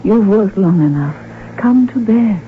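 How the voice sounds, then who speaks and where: A woman playing the loving, gently practical wife of an archduke in a radio drama, affectionate and mildly chiding. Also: medium pitch, expressive, unhurried, a little hiss or hum, lightly acted. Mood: tender concern. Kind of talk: 1970s radio drama acting. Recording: muffled old radio broadcast recording.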